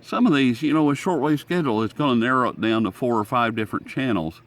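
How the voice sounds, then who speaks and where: A man's voice: mid-range voice, lively intonation, fast talker. An American man hosting a casual hobby radio demonstration outdoors, talking in a folksy, rambling way. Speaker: host. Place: outdoors in sunlight.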